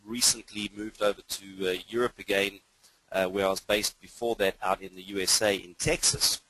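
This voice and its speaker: A low-voiced man with an accent that blends British and South African into an international English. He presents a webinar informally and keenly, with frequent hesitations. The voice is rough-edged and the sound is very dry. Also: steady tone, normal speed, a bit bright, no echo, rough recording. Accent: mixed international English, hints of British and South African. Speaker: cybersecurity trainer.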